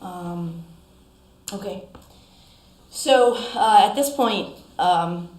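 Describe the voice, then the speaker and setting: A woman chairing a town council meeting, polite and halting, with many ums and bursts of quicker speech. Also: medium pitch, a little mellow, roomy sound.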